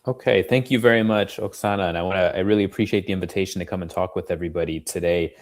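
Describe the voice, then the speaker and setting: American guy with a low voice doing a webinar talk, conversational, says you know a lot. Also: steady tone, rapid-fire. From United States. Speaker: university dean giving a presentation. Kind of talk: informal webinar presentation.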